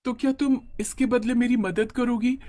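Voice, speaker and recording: A timid young village woman from a cartoon moral tale, acted by a man. The voice is high, and it sounds worried, hesitant and earnest. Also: fairly even intonation, moderate pace, warm tone.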